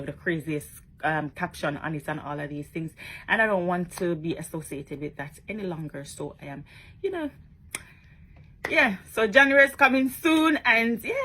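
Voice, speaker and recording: A woman's voice: on the low side, sharp tone, a little hiss or hum, poor audio. A woman talking casually to her followers in a vlog, chatty and emphatic, often tagging on questions. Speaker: social media blogger.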